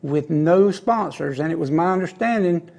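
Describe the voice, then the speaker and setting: A man's voice: fairly high pitch, lively intonation, normal speed, creaky, darkish tone. A man hosting a TV shopping show, talking up items in folksy, chatty salesman banter from an indoor studio set on a lapel mic. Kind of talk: TV shopping pitch.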